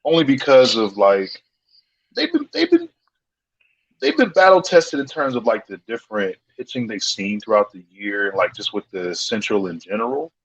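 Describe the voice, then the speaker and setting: A man's voice: lowish voice, moderate pace, breathy edge, no room sound, highs cut off. A man co-hosting a sports talk show, casual and slangy, often acting out what players might be thinking. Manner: animated, slangy, acts out players' thoughts.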